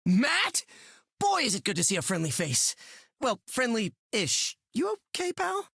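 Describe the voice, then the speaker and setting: A man's voice: very high voice, huge pitch swings, a little slow, creaky, sharp tone, slightly rough, dry recording, studio quality, exaggerated voice. An actor playing a friendly, earnest young male superhero in a video game, chatty and boyish. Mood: friendly concern. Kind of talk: video game character voice lines.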